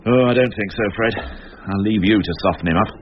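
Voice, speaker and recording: Man acting a weary older police inspector, lowish voice, dry irony, dull noisy radio drama sound. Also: fairly quick, natural voice. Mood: weary, businesslike. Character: world-weary, drily sardonic older male police inspector.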